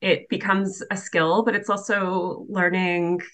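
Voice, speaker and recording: A woman hosting a casual podcast interview, encouraging, with halting phrasing as she forms her questions. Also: on the low side, little pitch movement, a bit bright.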